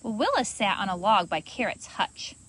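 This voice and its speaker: A woman reading a children's storybook aloud as host, in an expressive, animated manner for young listeners. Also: medium pitch, moderate pace, husky.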